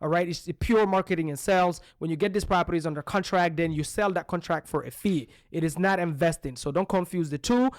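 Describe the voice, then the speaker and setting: A man's voice: on the high side, fairly quick, hardly any pauses, no echo, studio quality. A man from Belgium co-hosting a podcast, coaching listeners in an emphatic, punchy way full of rhetorical questions. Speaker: podcast co-host. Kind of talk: real estate coaching talk.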